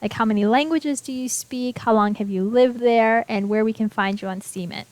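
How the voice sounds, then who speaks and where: A woman's voice: on the high side, moderate pace, no echo. A woman hosting an internet radio show, chatty and bubbly, laughing often as she talks. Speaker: host. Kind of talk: casual internet radio chat.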